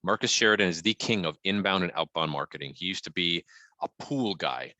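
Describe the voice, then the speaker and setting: Middle-aged male consultant: booming, deep-leaning voice, dry close sound, emphatic and conversational. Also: fairly quick, pushed and bright, no room sound. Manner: emphatic, punchy, frequently checking in with "right?". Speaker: guest marketing consultant. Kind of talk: conversational business advice to an online group.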